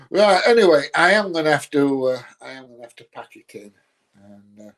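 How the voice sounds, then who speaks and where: A man's voice: fairly high pitch, fairly bright tone. A man with a Nottinghamshire accent that sounds close to Yorkshire, hosting a livestream with casual, blunt, drily grumbling chat. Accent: Nottinghamshire, Yorkshire-sounding English. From Nottinghamshire, England. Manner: blunt, colloquial, grumbling dry humour. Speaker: livestream host. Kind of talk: casual chat answering live viewer comments.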